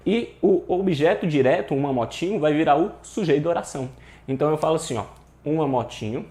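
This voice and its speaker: A man's voice: a little high, lively intonation, fairly quick, dark tone. A Brazilian man teaching an informal grammar lesson, colloquial, often asking questions and answering them himself. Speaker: Portuguese grammar teacher for exam preparation. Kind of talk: informal online lesson, explaining with examples. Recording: echoey room.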